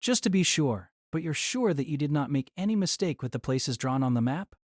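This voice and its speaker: A man's voice: a little high, lively intonation, brisk, no echo, clean studio sound. A man playing a petulant young student mage in an audio drama, whiny and scolding. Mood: fretful. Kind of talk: fan audio drama acting.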